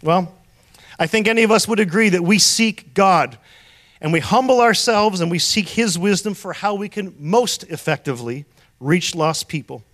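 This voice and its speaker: A man's voice: high-pitched, normal speed, fairly bright tone. A man from Canada preaching a sermon, telling stories and asking rhetorical questions. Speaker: pastor.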